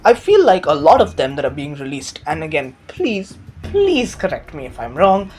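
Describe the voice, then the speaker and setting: A man's voice: a little high, huge pitch swings, normal speed, darkish tone. A man giving a casual, opinionated commentary in a rambling, emphatic way with jokey asides. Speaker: video commentator.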